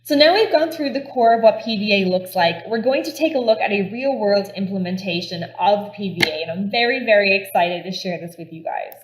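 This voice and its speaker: A woman's voice: mid-range voice, reverberant. Upbeat Irish woman presenting a demo. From Ireland. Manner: upbeat and enthusiastic, explaining step by step. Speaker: conference presenter.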